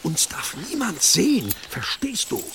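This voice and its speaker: An actor playing an exasperated, secretive abbot in an audio drama, curt and sarcastic. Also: male voice, sits high, huge pitch swings, a little slow, background noise, heavily acted. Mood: secretive, hurried.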